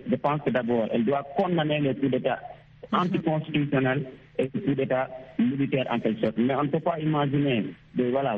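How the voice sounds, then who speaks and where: A man calling a radio show, giving a reasoned political opinion with hesitations and repeated phrases. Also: medium pitch. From Senegal. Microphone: phone. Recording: tinny phone line with clear noise.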